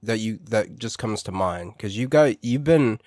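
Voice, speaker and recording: A man hosting a casual interview, chatty and quick with short repeated reactions. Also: lowish voice, lively intonation, darkish tone, dry recording, studio quality. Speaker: radio show host.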